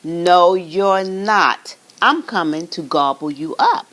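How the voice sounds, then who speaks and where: A woman voicing a mean, greedy male troll in a read-aloud storybook, with a loud, gruff, menacing delivery. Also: fairly low pitch, animated, slow.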